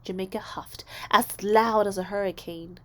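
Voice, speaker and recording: A woman narrating a children's story aloud, expressively, with deliberate phrasing and dramatic emphasis. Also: medium pitch, steady tone. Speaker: storybook reader.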